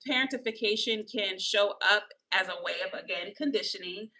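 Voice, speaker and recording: A woman in her thirties with a slightly nasal voice, hosting a live mindfulness talk. She explains slowly, repeats her definitions, and checks in often with "okay?" and "right?". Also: medium pitch, bright, forceful tone, rough recording. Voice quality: a little nasal from allergies. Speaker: mindfulness coach and livestream host. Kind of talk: live-streamed mindfulness teaching.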